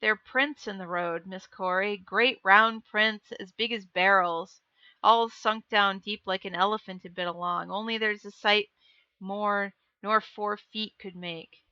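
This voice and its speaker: A woman's voice: mid-range voice, fairly even intonation, unhurried, pushed and bright, clean tone, no room sound. A woman voicing a frightened, uneducated farm boy speaking rustic dialect in a horror story. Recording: dull lo-fi dry recording.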